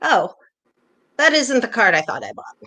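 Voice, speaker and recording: A woman's voice: a little high, big pitch swings, normal speed, sharp tone, full character voice. A woman acting an exasperated, teasing long-married wife in an amateur comedy play reading, incredulous and needling. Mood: dry, weary.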